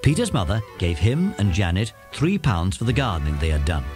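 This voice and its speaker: A man's voice: sits low, wildly swooping pitch, brisk, music in the background. A man with an English accent reading scripted narration for a children's story as its narrator.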